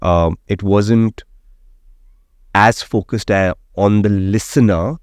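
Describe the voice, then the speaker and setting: A man's voice: lowish voice, fairly even intonation, slow, a little mellow, clean tone, no room sound, clean studio sound. A radio jockey, explaining his views at length in an interview and often checking in with "right?". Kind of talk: opinionated interview conversation.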